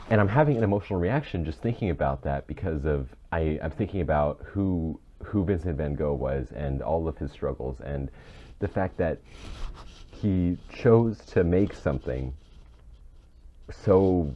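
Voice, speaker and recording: A man co-hosting a podcast, earnest and halting as he reflects in casual discussion, choked up and close to tears. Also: a bass voice, animated. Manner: earnest, halting, searching for words. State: choked up, tearful. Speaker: podcast co-host. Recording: muffled, dull microphone.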